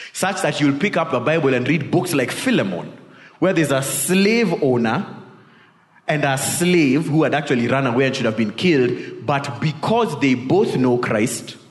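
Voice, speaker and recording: Kenyan man preaching in an echoey hall. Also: mid-range voice. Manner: informal, peppered with tag questions and Swahili asides. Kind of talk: conversational sermon with personal storytelling. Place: large reverberant indoor hall. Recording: echoey hall with long reverb.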